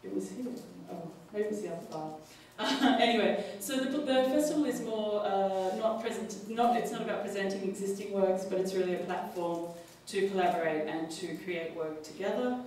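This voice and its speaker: An Australian woman speaking at a panel discussion, halting often with fillers and restarts. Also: mid-range voice, a little mellow. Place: large public hall. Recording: echoey hall, distant mic, faint noise.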